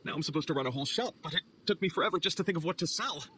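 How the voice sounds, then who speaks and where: Flustered polite knight clerk, quick pace, bright forceful tone, highish voice, formal, game character. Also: male voice, animated, a little hiss or hum. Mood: flustered, earnest. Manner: formal, earnest, slightly nervous. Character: flustered, earnest, overly polite male knight logistics clerk. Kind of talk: video game voice acting.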